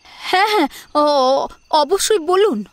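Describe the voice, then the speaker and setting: A woman's voice: high voice, animated, takes it slowly, no echo. A woman playing an anxious, scolding middle-aged mother in an audio drama, talking in a fretful, urgent, questioning way. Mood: shocked, indignant. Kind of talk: Bengali audio drama acting.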